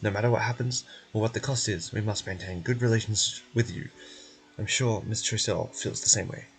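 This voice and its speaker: A man voicing a polite, officious male research official in a fantasy game, measured and guarded in manner. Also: lowish voice, gravelly, rough recording. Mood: formal, guarded.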